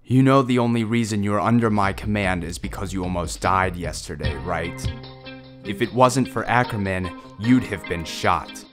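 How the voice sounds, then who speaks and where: A man's voice: on the low side, a little slow, music in the background, natural voice. A man playing a stern, sardonic squad leader and harsh mentor in a fan audio drama, speaking curtly and dryly. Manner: curt, dry, sardonic.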